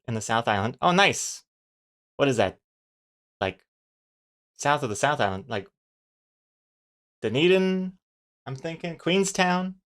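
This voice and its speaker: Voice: mid-range voice, fairly bright tone. Casual, rambling livestreamer with a mixed accent. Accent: mixed, not quite New Zealand. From New Zealand. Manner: rambling, thinking aloud. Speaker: comic artist livestreamer. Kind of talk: casual livestream chat with viewers.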